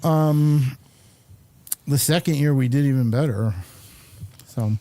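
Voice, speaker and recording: A man's voice: medium pitch, slow pace, warm tone. A man hosting a talk show in a casual, conversational interview style.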